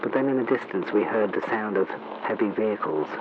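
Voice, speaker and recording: Guy playing a shaken war reporter on old 1930s radio, low solemn voice, really noisy recording. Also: lively intonation, lo-fi sound, natural voice. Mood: grim, urgent. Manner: solemn, deliberate, formal narration. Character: grave, shaken male war reporter recounting an invasion.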